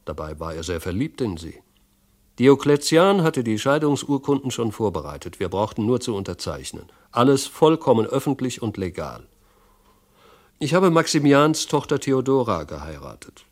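A man's voice: mid-range voice, big pitch swings, moderate pace, darkish tone, voice pushed up. A male audiobook reader voicing a self-satisfied, affable but condescending Roman husband and rising ruler. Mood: breezy, casual.

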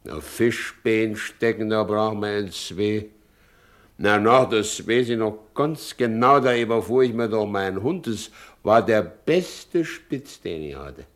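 Slow, deep-voiced brooding aging carter. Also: male voice, lively intonation. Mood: guilt-ridden, brooding. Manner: halting, rustic, repetitive speech. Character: brooding, broken, aging carter, good-natured and guilt-ridden. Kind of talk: stage-drama acting.

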